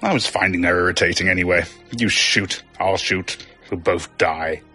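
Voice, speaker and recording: A man's voice: low-pitched, normal speed, bright, forceful tone, breathy edge, exaggerated voice. A man voicing a menacing, coldly mocking male warlord villain in a grandiose, commanding manner. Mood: cold amusement. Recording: music under the voice.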